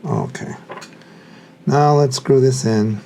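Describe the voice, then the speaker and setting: A man's voice: mid-range voice, huge pitch swings, takes it slowly, vocal fry, warm tone, a little hiss or hum. A man giving casual hands-on unboxing commentary, muttering to himself as he works with his hands. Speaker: hobbyist reviewer.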